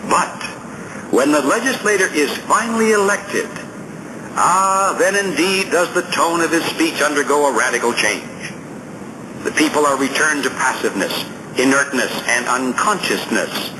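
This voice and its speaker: A man's voice: a little high, lively intonation, moderate pace, noisy background. A man reading scripted nonfiction as an audiobook narrator, in a formal, emphatic, oratorical manner.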